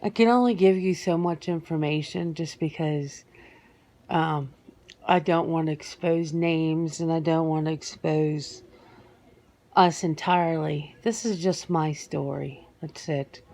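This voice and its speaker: Woman doing a guarded female werewolf being interviewed, asmr roleplay, real slow n halting, gravelly fry. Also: low-pitched, steady tone, darkish tone. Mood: guarded, earnest. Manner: hesitant, matter-of-fact, halting with pauses.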